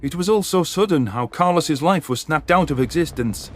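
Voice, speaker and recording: A man's voice: fairly high pitch, animated, normal speed, slight background noise. A man narrating a dramatic first-person science fiction story as an audiobook.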